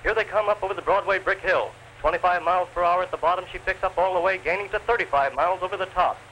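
A man's voice: high-pitched, fast talker, fairly bright tone. A man narrating a 1930s newsreel advertisement in a declamatory salesman's delivery. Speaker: promotional film narrator. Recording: noisy 1930s film soundtrack.

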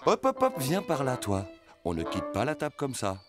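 A man's voice: mid-range voice, wildly swooping pitch, moderate pace, over music. A man voicing an adult father bear in a preschool cartoon, firm but good-natured and fatherly. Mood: firm, friendly.

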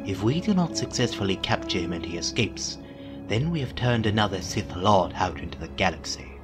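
Low-voiced sceptical council master, played by a man. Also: lively intonation, brisk, lightly acted. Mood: worried, sceptical. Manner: grave, doubtful. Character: grave, sceptical male Jedi council elder. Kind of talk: fan audio drama acting. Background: loud music.

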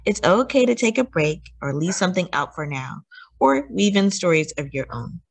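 A woman reading a children's picture book aloud as a story time host, with deliberate, teacherly emphasis and questions to young listeners. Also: on the low side, very animated, creaky, husky.

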